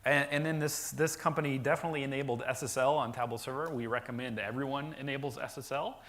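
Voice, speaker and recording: A man giving a conference talk about software deployment to a live audience, explaining with frequent fillers and restarts and a naturally loud voice. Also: mid-range voice, fairly even intonation, fast. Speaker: conference presenter. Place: conference session room.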